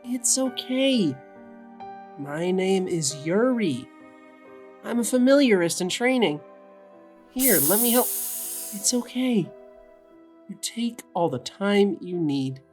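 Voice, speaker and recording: A woman voicing a gentle, curious young male witch with a soft, casual way of talking in an audio drama. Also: medium pitch, lively intonation, slow pace, pauses often, faint music behind. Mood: gentle, reassuring. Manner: soft-spoken, kindly, casual. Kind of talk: audio drama character acting.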